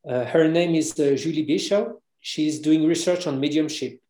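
A French man speaks English with a soft, dark tone, searching for words and stumbling over restarts as he explains. His pitch sits a little high, and the room around him echoes. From France. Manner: halting, many restarts and fillers. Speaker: guest, researcher and author. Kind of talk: interview, explaining at length.

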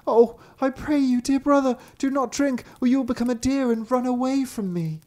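A reader voicing a pleading young girl, the little sister in a fairy tale, in a high, earnest tone. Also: normal speed, darkish tone, lightly acted.

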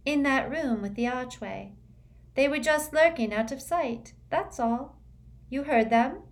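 A woman's voice: high-pitched, unhurried, voice pushed up. A woman reading an audiobook, voicing a dreamy, unworldly teenage witch girl who speaks in an airy, matter-of-fact way.

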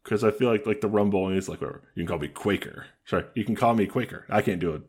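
A man co-hosting a podcast in casual comedic chat, dry and joking, with a deep voice. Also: rapid-fire, a little mellow, slightly rough. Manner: dry, joking, riffs on bad movies. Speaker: podcast co-host.

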